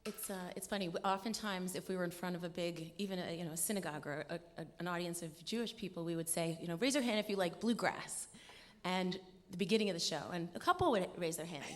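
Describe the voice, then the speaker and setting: A female musician speaking as an interview guest, earnest and expressive in loose conversational phrases, recorded live on stage. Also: on the low side, quick pace. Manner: earnest, expressive, conversational with frequent "you know". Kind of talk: informal interview talk. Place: stage of a live radio show. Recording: live hall with long reverb.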